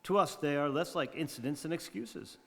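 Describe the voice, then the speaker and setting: A man's voice: fairly high pitch, a little fast, gravelly, fairly bright tone. A man playing a grandiloquent, wry 1930s radio host in theatrical monologue, eloquent and self-mocking. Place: community theatre radio play staged live. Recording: reverberant hall.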